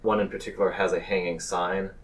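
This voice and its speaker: A man narrating a tabletop game as game master, halting and pausing between phrases. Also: low-pitched, little pitch movement. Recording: distant microphone.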